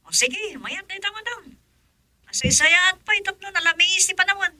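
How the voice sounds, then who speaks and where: An actress playing an eager, deferential household aide in an Ilocano radio drama, with a thin, shrill voice. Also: female voice, animated, moderate pace, sharp tone, no echo, full character voice.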